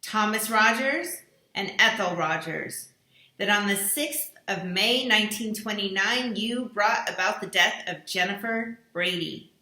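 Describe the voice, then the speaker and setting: A woman reading out a formal list of accusations in a slow, declaiming voice. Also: mid-range voice, sharp tone, roomy sound, low-quality recording. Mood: accusing.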